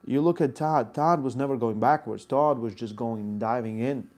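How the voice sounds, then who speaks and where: A man with a Latvian accent and a gravelly edge, answering chat questions as an arm wrestling coach. His pitch sits in a middle range, and he breaks his technique explanations into short, punchy bursts. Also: moderate pace, darkish tone. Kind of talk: live Q&A answering viewer chat.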